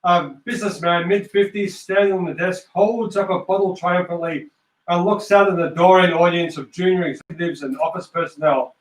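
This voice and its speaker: Man, scripted narration, high voice, echoey room, stage-direction reader. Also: fairly even intonation, fairly quick. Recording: echoey room, distant mic.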